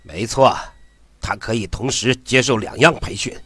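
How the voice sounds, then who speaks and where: A man's voice: medium pitch, normal speed, bright, forceful tone, no room sound. A man playing a hard-bitten, commanding army general who trains a recruit in an audio drama. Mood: stern, firm. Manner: commanding, gruff-talking military instructor.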